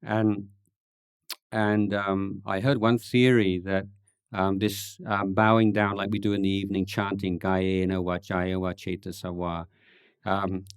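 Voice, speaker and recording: A man, a Buddhist monk, answering questions at a meditation retreat in a thoughtful, halting way, with dry gentle humor and many pauses and ums. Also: sits low, little pitch movement, clean tone, dry recording. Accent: English. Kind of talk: relaxed Dhamma Q&A talk.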